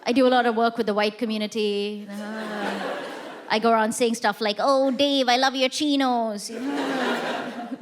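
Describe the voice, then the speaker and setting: A woman's voice: fairly high pitch, steady tone, moderate pace. Woman comic, self-deprecating stand-up delivery, stage mic, audible noise under the voice. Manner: self-mocking, conversational punchlines. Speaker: stand-up comedian. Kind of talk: stand-up comedy set. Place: theatre stage before a live audience.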